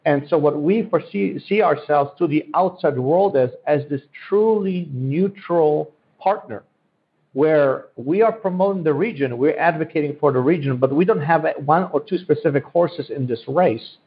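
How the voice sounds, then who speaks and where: A man's voice: a little high, moderate pace. A man from Central Europe, a native Polish speaker, giving earnest, promotional answers in a podcast interview and often repeating his phrases. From Central Europe, born in Poland, raised in Germany. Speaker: economic development guest. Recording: dull, lo-fi audio.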